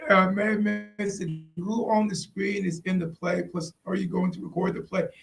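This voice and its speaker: A host chatting informally on a live show, asking questions and thinking out loud. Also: medium pitch, steady tone, moderate pace, gravelly, soft background music. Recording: faint background noise.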